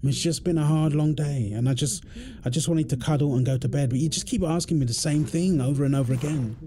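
A man's voice: fairly high pitch, quick pace, a crowd in the background, lightly acted. A man playing a tired, irritable firefighter husband in an intimate roleplay audio drama. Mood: weary, apologetic. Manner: weary sighs, snappy, then apologetic.

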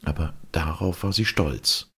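A man reading a fairy tale aloud as an expressive audiobook storyteller. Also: deep voice, measured pace, slightly rough.

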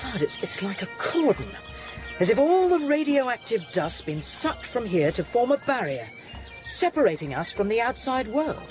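A woman's voice: a little high, big pitch swings, normal speed, a little mellow, faint music behind. An actress playing an inquisitive, dry-witted woman scientist who explains things wryly in a radio drama. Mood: keen, wry.

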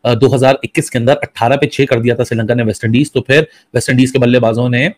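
A man from Pakistan analysing cricket in a fast, reasoned, rhetorical way. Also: medium pitch, fairly even intonation, no echo, clean studio sound.

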